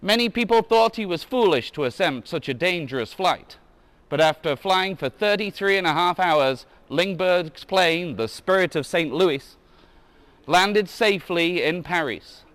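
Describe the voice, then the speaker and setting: A man with a somewhat high-pitched voice reads a children's book aloud like a museum guide. He slips casual asides into the text and corrects himself when he trips on a word. The sound is dry, with very little room echo. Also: fairly bright tone. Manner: stumbles over words and corrects himself.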